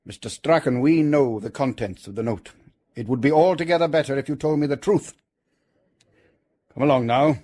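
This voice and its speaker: An actor playing an authoritative British official questioning suspects in a radio detective drama, formal, measured and insistent. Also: male voice, medium pitch, warm tone, close to an everyday voice. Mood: probing, stern.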